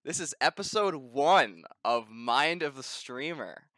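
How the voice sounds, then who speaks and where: Young man, rambling host, bright voice, dry headset sound. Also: medium pitch, expressive, slow pace, dry recording. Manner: rambling, many restarts and fillers. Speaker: podcast interviewer. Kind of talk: casual interview over voice call. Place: bedroom, indoors. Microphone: gaming headset mic.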